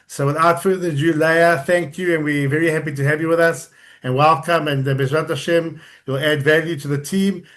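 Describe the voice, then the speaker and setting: Effusive man with a higher voice. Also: steady tone, brisk, fairly bright tone. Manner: effusive, rambling. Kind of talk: introducing a speaker.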